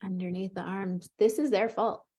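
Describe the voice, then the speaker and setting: A woman coaching an emotional tapping session, calmly leading her client through short phrases to repeat, in an encouraging way. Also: mid-range voice, normal speed. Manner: calm, encouraging, leads with short prompts.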